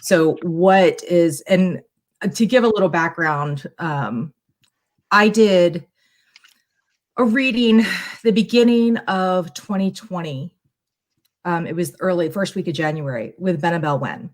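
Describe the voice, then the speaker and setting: A woman's voice: on the low side, slow pace. A middle-aged woman chatting casually as a guest on a live stream, talking in a reflective, long-winded way. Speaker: guest tarot reader bringing a question.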